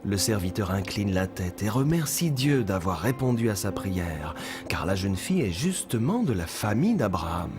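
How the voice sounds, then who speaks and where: A man playing a kindly storytelling mentor in a children's cartoon dub, with measured, instructive delivery. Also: fairly low pitch. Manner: measured, expressive, instructive narration. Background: music behind the voice.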